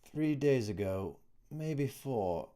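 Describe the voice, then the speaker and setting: A man voicing a resigned male prisoner in an audiobook, speaking in a smooth, light, confiding whisper. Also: medium pitch, lively intonation, slow, pitched above the performer's own voice. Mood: hushed, resigned. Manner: hushed, confiding. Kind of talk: audiobook character voice.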